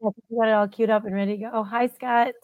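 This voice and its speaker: A woman's voice: fairly high pitch, flat delivery, rapid-fire, phone-quality sound, a little hiss or hum. A woman hosting a live online show over a video call, upbeat and encouraging. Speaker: host.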